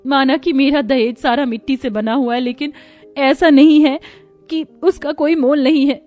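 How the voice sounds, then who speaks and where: Lady doing a timid hurt young bride in a cartoon, high pleading voice, faint music. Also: steady tone, moderate pace, darkish tone, lightly acted. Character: meek, hurt young daughter-in-law from poor home. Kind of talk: cartoon moral story acting.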